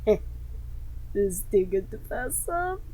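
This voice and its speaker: A woman's voice: mid-range voice, sing-song, measured pace, mellow, husky. A woman giving casual, wry let's-play commentary on a game, reacting in asides and reading some lines aloud. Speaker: gaming channel streamer.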